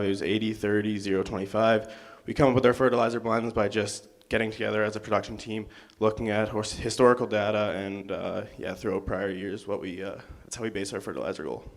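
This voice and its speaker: A man's voice: fairly low pitch, little pitch movement, fast talker. A young man from Saskatchewan, presenting crop figures in a class talk and trailing into fillers when he loses his place. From Saskatchewan, Canada. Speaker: college student presenter.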